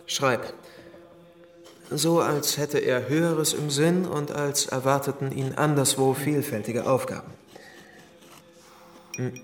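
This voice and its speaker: A man's voice: medium pitch, steady tone, natural voice. A male actor playing a commanding abbot who dictates in a formal, pondering way in a radio drama. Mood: commanding, pensive. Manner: pondering, pausing with hums, formal.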